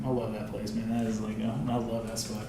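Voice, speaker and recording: Man, podcast host, rapid casual chat, enthusiastic, slangy, distant from mic, heavy room echo. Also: fairly low pitch, little pitch movement, noisy background. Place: echoey studio room. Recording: roomy, distant mic, voices in background.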